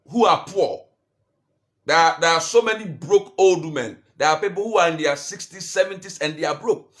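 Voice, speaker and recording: A man giving a motivational finance talk, full of restarts and rhetorical questions, and growing louder and higher when he urges his listeners. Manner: rises in pitch and force when urging. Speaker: finance coach.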